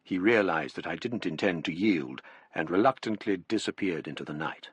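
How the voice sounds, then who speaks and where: An actor playing a gentleman narrator in a radio drama, recounting a gothic mystery with a British accent. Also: low-pitched, lively intonation, moderate pace, breathy edge, dry recording. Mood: grave, reflective. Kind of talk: scripted first-person narration in radio drama.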